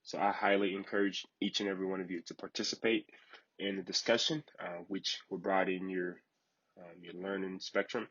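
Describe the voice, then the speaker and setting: Low-voiced man teaching, slightly muffled sound. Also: a bit bright. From Liberia. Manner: polite, formal, instructional. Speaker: trainer. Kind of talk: online training lecture.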